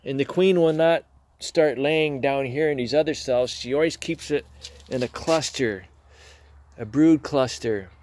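A man's voice: mid-range voice, unhurried, a little mellow, slight background noise. A man beekeeper talking casually and plainly while showing his hives, recorded on a handheld phone in a garage. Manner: plainspoken, chatty, explains as he works. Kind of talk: casual hands-on demonstration.

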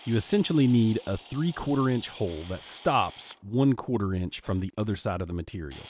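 A man's voice: lowish voice, animated, fairly quick, darkish tone, slight background noise. A man, hosting a woodworking channel in an upbeat, jokey how-to manner from his home workshop. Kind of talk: scripted workshop narration.

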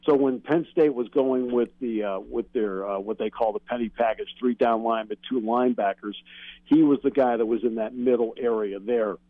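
A man's voice: medium pitch, moderate pace, dry recording. American man on a dull call-in line. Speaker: guest sports broadcaster. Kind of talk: sports radio interview, analytical answers. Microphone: remote call-in connection.

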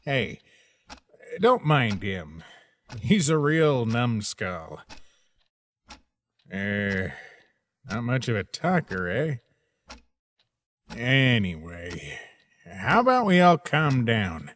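A man voicing a laid-back, calm skeleton with a casual, dry manner in a comic dub. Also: medium pitch, drawn-out words, long pauses, exaggerated voice. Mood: placating.

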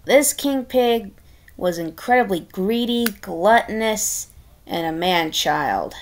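A woman's voice: mid-range voice, lively intonation, slow, a little hiss or hum. A woman reading a storybook aloud expressively, with wry, sardonic asides. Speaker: storybook reader.

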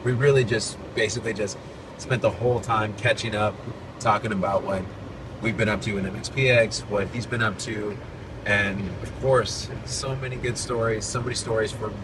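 Forties male host, low-pitched, easygoing and promotional, recorded on a phone a bit off-mic in a noisy car. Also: moderate pace. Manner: relaxed, upbeat, promotional. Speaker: podcast host and band frontman. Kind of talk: casual chat. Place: in a moving car. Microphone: phone at arm's length. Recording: loud road noise, slightly distant phone mic. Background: road and engine noise.

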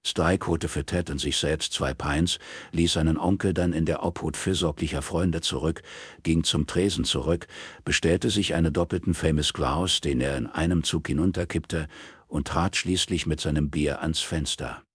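Very deep, husky male narrator. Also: fairly even intonation, normal speed, dry recording. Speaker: audiobook narrator. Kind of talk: scripted literary narration in German.

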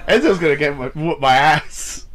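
A young man with a cold and a nasal voice, chatting casually over a wrestling game. His tone is bright and a little high, and he jokes and swears between lines he reads out loud. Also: animated, normal speed, faint music behind. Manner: joking, swearing, bursts into loud mock cheers. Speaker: gaming YouTuber. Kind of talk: casual gameplay commentary, reading promos aloud.

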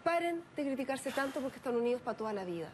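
Woman psychologist on tv, highish voice, explains things slow, faint hiss. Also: big pitch swings. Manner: explanatory, measured. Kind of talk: calm expert commentary. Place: TV studio set.

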